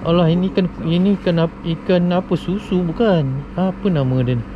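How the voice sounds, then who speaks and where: A man's voice: sits high, normal speed, dull audio, noisy background. Upbeat Malaysian man, fishing vlog. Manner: upbeat, chatty, addresses viewers as guys. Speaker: fishing vlogger. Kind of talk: casual vlog commentary. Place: wooden fishing platform over the sea at night, outdoors.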